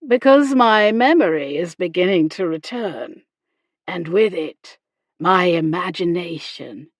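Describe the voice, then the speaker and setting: A woman voicing a sardonic, commanding gentleman with a sarcastic, deliberate manner in a period romance audiobook. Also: fairly low pitch, expressive, no echo. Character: sardonic, commanding gentleman, injured, coolly provoking.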